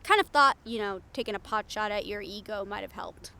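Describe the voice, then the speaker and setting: A woman's voice: mid-range voice, brisk, creaky, sharp tone, slight background noise. A woman playing a brash, cynical, foul-mouthed reporter in an improvised audio roleplay, with a blunt, sardonic manner. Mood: teasing, wry.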